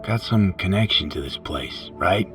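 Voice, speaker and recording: A man's voice: deep voice, animated, unhurried. A man playing an easygoing, curious nomad drifter in a video game, answering in short, casual, slangy lines. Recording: loud game music behind.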